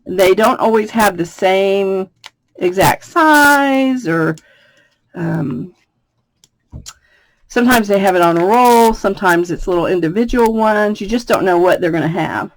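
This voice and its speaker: A woman from the United States with a slightly raspy voice, chatting through a card-making tutorial and laughing between steps. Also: mid-range voice, unhurried. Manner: chatty, laughs often, thinks aloud. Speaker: craft demonstrator. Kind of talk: casual craft tutorial.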